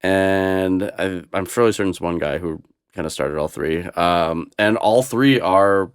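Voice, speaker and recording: Low smooth-voiced man, rambling casually. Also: unhurried, no room sound, studio quality. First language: Russian. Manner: rambling with many fillers and restarts. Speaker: podcast guest, DJ. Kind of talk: casual conversation.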